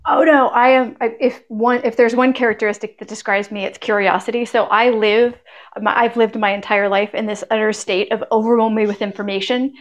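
Female podcast guest, somewhat high register, informal anecdotal delivery, conversational interview answers. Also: fairly even intonation, a little fast. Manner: chatty, informal storytelling with quoted asides.

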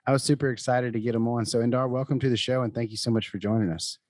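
A man's voice: medium pitch, fairly even intonation, fast talker, darkish tone, no room sound. A man hosting a real estate podcast, introducing his guest in an upbeat, friendly way. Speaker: podcast host. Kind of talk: podcast interview.

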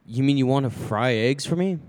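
A man's voice: mid-range voice, expressive, brisk, a little mellow, lightly acted. A man playing a dismissive, firm husband and father in a radio play. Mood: reassuring.

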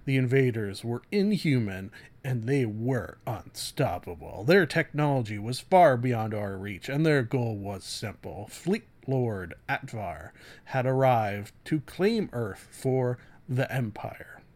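Man putting on a theatrical, halting epic narrator. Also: mid-range voice, lively intonation, a little mellow. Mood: ominous, grandiose. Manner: theatrical, halting, heavily emphatic. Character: grave, melodramatic narrator of an epic sci-fi blurb. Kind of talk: dramatic reading of book jacket copy.

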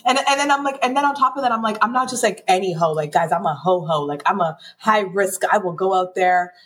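A woman's voice: mid-range voice, fast, a bit bright. A woman guesting on a podcast, chatting frankly and giggling, with a lot of "like" in her speech. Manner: frank, giggly, heavy use of "like". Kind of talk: casual chat.

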